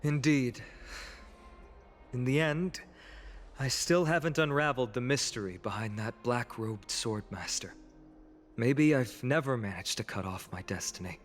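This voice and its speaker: A man voicing a young video game hero who seems carefree but is earnest and wistful, teasing lightly and pausing in thought. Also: medium pitch, a little hiss or hum, lightly acted. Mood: resolved, wistful. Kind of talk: video game voice acting, dramatic dialogue. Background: background music.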